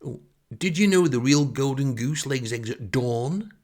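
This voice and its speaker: A man's voice: medium pitch, lively intonation, a little slow, a little mellow, pitched above the performer's own voice. A man voicing an interrupting know-it-all farmer, pedantic and eager with trivia, in a comic monologue.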